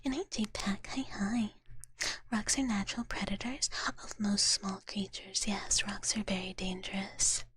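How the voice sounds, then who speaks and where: A woman whispering softly and breathily close to the microphone, chatting gently and cutely in an ASMR livestream style. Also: mid-range voice, measured pace. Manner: cutesy, gentle, refers to herself in third person. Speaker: livestreamer playing a dragon big-sister persona. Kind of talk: ASMR, whispered live chat with viewers.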